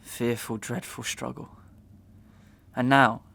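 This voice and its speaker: Man acting an anguished melodrama gentleman, creaky voice. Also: mid-range voice, flat delivery, slow, full character voice. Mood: earnest, pleading. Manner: declamatory, archaic, impassioned delivery. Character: anguished, wronged young gentleman of melodrama, noble and despairing. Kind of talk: Victorian stage melodrama acting in an audio drama.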